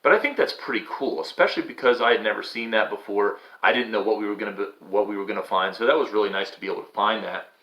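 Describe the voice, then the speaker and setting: A man's voice: fairly low pitch, quick pace, hardly any pauses, pushed and bright, poor audio. A man hosting and reading a storybook, moving between casual chat and a theatrical, suspenseful delivery. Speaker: host and storyteller.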